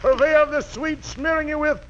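A man's voice: very high voice, steady tone, normal speed, a bit bright. A man hosting an old radio show as master of ceremonies, with flowery, grandiose jive introductions. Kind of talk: 1940s jive radio emceeing. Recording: noisy, dull old radio broadcast, audience. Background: crowd and noise.

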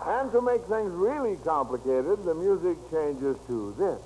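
A man's voice: fairly high pitch, big pitch swings, measured pace. An American man hosting an old-time radio quiz show, encouraging and praising the contestants as he reads out questions. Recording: noisy old radio recording.

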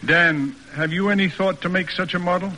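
A man's voice: high-pitched, normal speed, pushed and bright, long reverb tail, slight background noise, poor audio. An actor playing a stern, pompous middle-aged American father in a formal, declamatory radio drama style. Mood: indignant, insistent. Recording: old radio broadcast recording.

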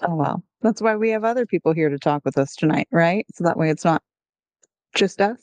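Female podcast host. Laid-back, conversational, voice sits a bit low. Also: brisk, darkish tone, no room sound. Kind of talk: casual podcast chat with some scripted intro.

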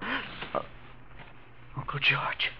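An actor playing a scheming young nephew, nervous, defensive and arrogant, in a 1940s radio drama. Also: male voice, extremely slow, slight background noise. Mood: stunned. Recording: muffled old radio recording.

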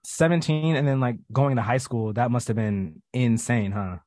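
A young man co-hosting a casual podcast, eager and talkative, riffing quickly with the guest. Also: medium pitch, dark tone. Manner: enthusiastic and talkative, quick to riff. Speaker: podcast co-host.

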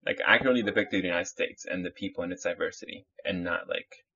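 Deep raspy guy from chile, rambly podcast chat, kinda muffled audio. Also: quick pace, sharp tone. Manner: rambling, many restarts and fillers. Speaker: podcast guest.

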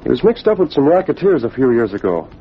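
An actor playing an earnest, assured young newspaper publisher in old-time radio drama. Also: male voice, medium pitch, very animated, fast talker. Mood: serious. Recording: dull old radio recording, faint noise.

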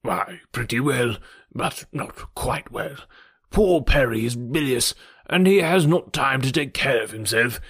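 Here's a man doing a frail, fretful old gentleman with a husky, breathy voice, fussing and doting as he frets over every chill and draught. His tone is gently insistent and worried, and the recording is dry and close. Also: medium pitch, lively intonation, measured pace, no room sound, heavily acted. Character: fretful, fussy elderly gentleman, anxious about health. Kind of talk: audiobook character reading.

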